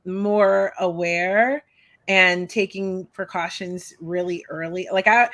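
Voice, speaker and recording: A woman's voice: fairly low pitch, a bit bright. A woman, probably in her thirties, chatting casually on a podcast, laughing often and poking fun at herself. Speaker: podcast co-host.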